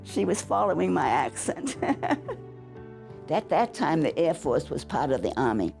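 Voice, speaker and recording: Older woman with a New England accent, low and gravelly, lively chatty storyteller. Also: measured pace, soft background music. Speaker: veteran interviewee. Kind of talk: reminiscing in an interview.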